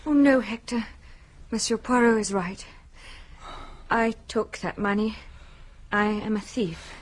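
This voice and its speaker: A woman's voice: mid-range voice, slow. A woman playing a proud, desperate young heiress in a radio play, speaking in an emotional, self-reproaching way. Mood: distraught. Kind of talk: radio drama acting.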